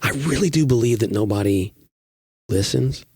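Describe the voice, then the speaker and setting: A man's voice: medium pitch, expressive, normal speed, vocal fry, darkish tone, slightly rough, no echo, studio quality. A man who is a pastor, recovering from a recent illness, chatting casually on a podcast and telling stories with self-deprecating humor.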